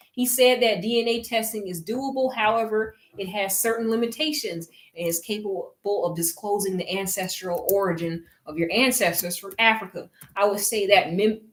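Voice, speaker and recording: A woman reading a book aloud in a casual talk and picking apart its wording. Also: mid-range voice. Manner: reads passages aloud then picks them apart. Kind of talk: casual critical commentary.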